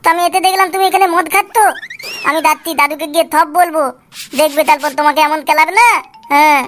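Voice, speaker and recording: A woman's voice: high voice, steady tone, fast, a bit bright. A woman voicing a whiny, stubborn little boy in a comic cartoon, insistent and repetitive. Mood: accusing. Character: nagging, stubborn little boy demanding a soft drink. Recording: clear background noise.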